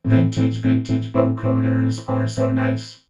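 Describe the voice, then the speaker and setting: A man's voice: slow, over loud music, rough recording. A man speaking through a vocoder as a friendly synthesizer robot, with a synthetic, chord-toned voice in a casual demo. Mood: upbeat, demonstrative. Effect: vocoder robot filter.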